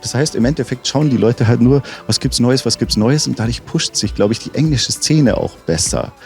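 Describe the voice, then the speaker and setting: A man's voice: medium pitch, normal speed, darkish tone, soft background music. A man as a rapper interview guest, chatting casually and enthusiastically with slang and frequent restarts. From Munich.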